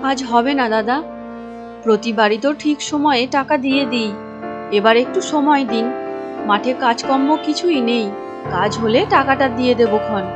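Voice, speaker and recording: A woman acts a poor, humble village mother in a cartoon. Her voice is fairly high and plaintive, deferential in manner, with music clearly behind it. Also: normal speed, lo-fi sound, lightly acted. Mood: pleading. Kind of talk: Bengali cartoon voice acting.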